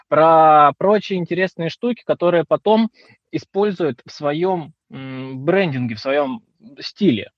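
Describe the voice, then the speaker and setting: A man's voice: on the high side, husky. A man asking casual, conversational questions as an interviewer, in a dull, roomy recording.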